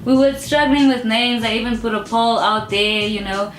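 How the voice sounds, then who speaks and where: A woman's voice: on the high side, little pitch movement, moderate pace, slight background noise. Outspoken South African woman, roomy echo. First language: English. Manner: outspoken and emphatic, with frequent "you know". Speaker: rap artist being interviewed. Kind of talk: casual interview talk. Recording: roomy echo with faint music behind.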